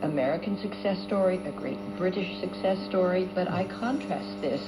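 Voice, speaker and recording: A woman making a measured argument on shortwave radio, heard through a small speaker. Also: lowish voice, over loud music. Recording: very noisy shortwave radio reception with hum.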